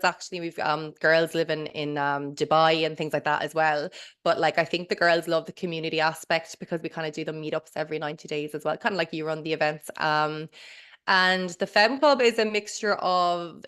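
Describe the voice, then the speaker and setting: Irish woman, 30s, low voice; chatty guest who rambles, self-interrupts and swears. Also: a bit bright, clear, resonant tone. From Ireland. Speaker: mindset coach, podcast guest. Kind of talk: casual interview chat.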